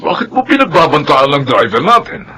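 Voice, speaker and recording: A man's voice: fairly high pitch, sing-song, fairly quick, bright, forceful tone. An actor playing a stern, suspicious wealthy father in a radio drama, questioning with authority.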